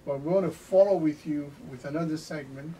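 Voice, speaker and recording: A middle-aged man hosting a casual interview, halting with fillers and restarts, often saying "mm-hmm" while his guest speaks. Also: fairly high pitch, dark tone. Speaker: talk show host. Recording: distant mic with faint noise.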